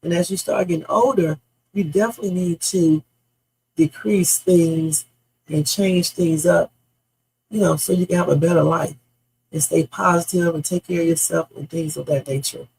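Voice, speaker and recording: A woman in her fifties talks off the cuff on a livestream with a gravelly edge and a husky, rough texture. She is blunt and salty and drifts from story to story before landing on advice. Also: lowish voice, steady tone, moderate pace, a little mellow, poor audio. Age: 50s. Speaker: livestream host. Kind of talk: rambling casual livestream chat.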